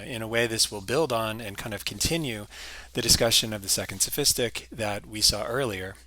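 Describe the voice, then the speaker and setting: A man's voice: fairly low pitch, pushed and bright, dry recording. A man giving a recorded history lecture, explaining patiently with some fillers and restarts.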